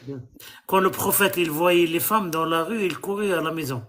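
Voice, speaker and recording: A man's voice: a little high, moderate pace, a bit bright. A man from Algeria hosting a live stream, quizzing callers with pointed, mocking questions.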